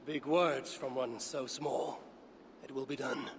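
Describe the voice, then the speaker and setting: Imperious warrior god, noisy backdrop. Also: male voice, medium pitch, steady tone, takes it slowly. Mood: haughty. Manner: commanding, curt, haughty. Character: imperious male warrior god, demanding, menacing and boastful. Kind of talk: video game cutscene acting.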